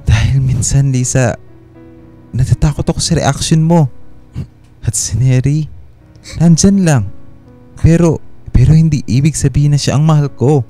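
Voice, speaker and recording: A man's voice: a little high, moderate pace, long pauses, mellow, close to an everyday voice. A man acting in a radio drama as a defensive husband who placates and pleads his innocence. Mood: defensive, pleading. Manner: pleading, reassuring. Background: background music.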